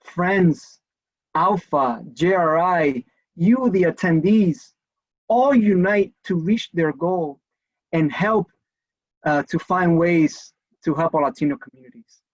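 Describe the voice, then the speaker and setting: A man's voice: fairly high pitch, slow, highs cut off. A man moderating a virtual panel, speaking earnestly with fillers and restarts. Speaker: event host. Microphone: video call.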